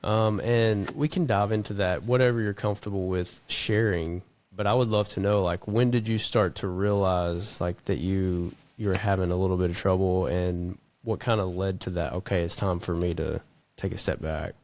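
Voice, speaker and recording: A woman host with a strikingly deep, low voice, leading a casual interview. She asks her questions gently and encouragingly, and the sound is close and dry. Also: fairly even intonation, moderate pace, dry recording. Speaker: podcast host.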